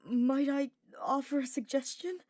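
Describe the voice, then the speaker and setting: A woman narrator puts on a meek young male archer for a fantasy story. His voice sits high and he speaks in an eager, nervous way, stammering and hesitating. The recording is close and dry. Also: unhurried, darkish tone, dry recording. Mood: meek, hesitant.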